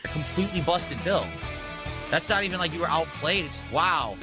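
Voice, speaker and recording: A man's voice: on the high side, animated, normal speed, music in the background. A man streaming a game and ranting in frustration, swearing and begging viewers for support. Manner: pleading, frustrated, profane ranting. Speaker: gaming streamer. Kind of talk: live gameplay commentary.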